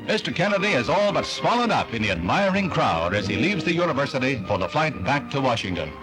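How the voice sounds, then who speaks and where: A man's voice: medium pitch, sing-song, quick pace, rough recording. An American man reading scripted 1960s newsreel narration in a declamatory announcer's delivery, on a vintage soundtrack. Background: newsreel music.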